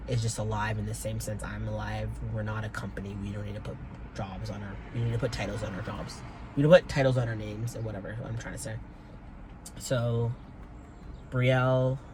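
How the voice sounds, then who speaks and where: A young man talking in a rambling, hesitant way, with many fillers and casual swearing, recording a personal vlog from inside his car. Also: on the low side. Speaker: vlogger. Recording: car noise in background.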